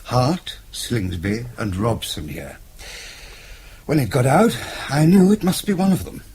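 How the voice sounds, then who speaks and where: An actor playing a suave, calculating British intelligence chief, speaking in an urbane, formal way in a radio drama. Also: male voice, medium pitch, big pitch swings, darkish tone, slight background noise. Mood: cool, explanatory. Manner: urbane, measured, formal explaining.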